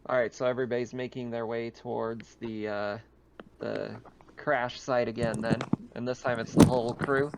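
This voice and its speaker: A man's voice: mid-range voice, level tone, normal speed, gravelly, background noise. A man running a tabletop game as game master, explaining and asking in a casual conversational way.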